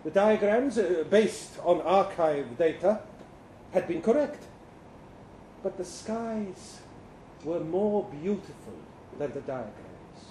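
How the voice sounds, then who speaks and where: A man performs an earnest, bewildered time-travelling professor in a story reading. His voice is high and soft-edged, with formal, precise phrasing that halts and hesitates. It sounds like a live reading in a roomy space. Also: sing-song, exaggerated voice. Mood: wistful. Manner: formal, hesitant, precise diction with halting pauses. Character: earnest, bewildered male professor claiming to be from the future. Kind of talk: dramatic first-person short story reading. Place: indoor live literary reading event. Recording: roomy live venue, faint background noise.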